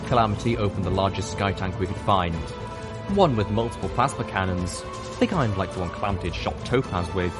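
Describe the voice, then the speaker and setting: A man reading first-person fiction aloud in a steady, measured way, over background music. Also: sits low. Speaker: audiobook reader. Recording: music playing underneath.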